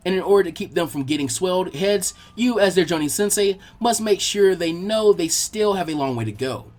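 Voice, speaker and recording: A man reading fan fiction aloud as expressive, scripted story narration. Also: high-pitched, brisk.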